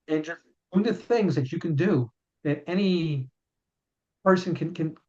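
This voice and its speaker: A man in his fifties, a chiropractor and author, answering interview questions in an earnest way and often repeating words as he explains. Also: a little high. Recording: dull lo-fi sound.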